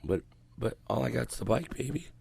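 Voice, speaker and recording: An older man hosting morning radio, his voice hoarse and croaky as he gets over a cold, rambling loosely and swearing freely into a headset mic in a small studio. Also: mid-range voice, animated, normal speed, a little mellow. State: recovering from a cold, voice coming back. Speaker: radio host. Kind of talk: casual morning radio chat. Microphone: headset mic around the ear.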